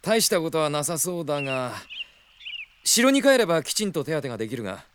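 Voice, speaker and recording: A man playing a gruff, proud, guarded young knight in a radio drama, with a curt, cool manner. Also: fairly high pitch, sing-song, fairly quick, a bit bright, slight background noise. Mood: wary, businesslike. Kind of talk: Japanese radio drama acting. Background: prominent music.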